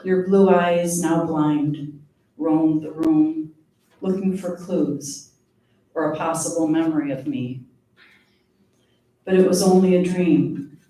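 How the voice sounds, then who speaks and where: Female poet, live reading, unhurried measured delivery; very reverberant, distant recording with faint noise. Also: sits low, steady tone, warm tone. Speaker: poet reading own work. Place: live reading event before an audience. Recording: very echoey room, distant mic, faint noise.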